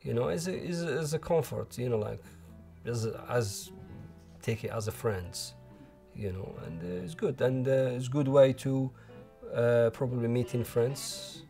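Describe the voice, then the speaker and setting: A man in his forties with an Algerian accent, speaking in a documentary interview at home with many pauses and restarts. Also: medium pitch, lively intonation, gravelly, warm tone, faint music behind. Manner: halting, many restarts and fillers. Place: living room at home. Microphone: lapel.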